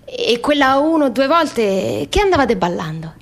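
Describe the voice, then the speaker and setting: A young daughter in a radio drama. Her voice is fairly high and highly animated in pitch. She comes across as persistent and curious. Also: moderate pace, heavily acted. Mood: insistent, curious. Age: young adult. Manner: insistent, repetitive questioning. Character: insistent, curious young daughter questioning her emigrant mother. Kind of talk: radio theatre dialogue and monologue.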